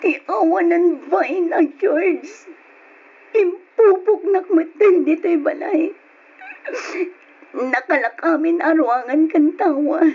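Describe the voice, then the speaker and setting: An actress playing a long-suffering, pleading wife in an Ilocano radio drama, plaintive and emotional. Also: female voice, very high voice, slow, exaggerated voice. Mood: lonely despair. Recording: dull, lo-fi old radio drama recording.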